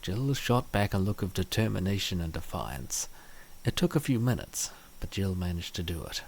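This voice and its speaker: A man's voice: low-pitched, big pitch swings, normal speed, vocal fry, a little mellow, a little hiss or hum. A man reading scripted story narration as the narrator of a comic dub.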